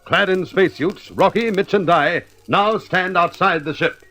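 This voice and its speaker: A man's voice: sits high, moderate pace, bright, forceful tone. A man reading a scripted recap as narrator of an old-fashioned radio adventure serial. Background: music.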